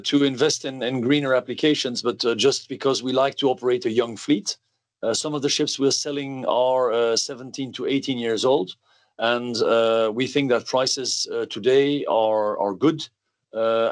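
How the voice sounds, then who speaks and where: Male executive, mid-pitched voice, measured and explanatory, fielding questions on an earnings call. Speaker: company executive.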